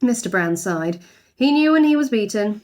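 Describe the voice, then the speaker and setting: A woman reads a children's story aloud in the expressive way of a teacher. Her voice sits at a middling pitch and moves about with very animated intonation. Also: moderate pace.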